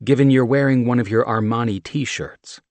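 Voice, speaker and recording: A man's voice: mid-range voice, fairly even intonation, moderate pace, no echo, pitched above the performer's own voice, natural voice. A man voicing a teasing, skeptical young woman in an audiobook.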